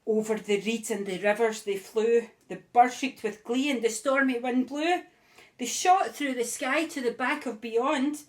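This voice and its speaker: Woman reading a picture book aloud, slow pace, fairly high voice. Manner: rhythmic, expressive read-aloud. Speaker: storybook reader.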